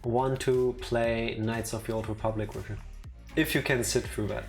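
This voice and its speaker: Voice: medium pitch, fairly even intonation, normal speed. Streamer just chatting, kinda opinionated and teasing, music playing behind. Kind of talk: casual chat before a game stream.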